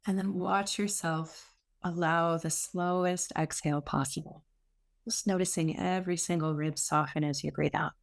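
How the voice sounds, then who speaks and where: A woman teaching meditation, giving gentle, soothing spoken instruction with unforced phrasing and long pauses. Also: fairly low pitch, fairly even intonation, vocal fry.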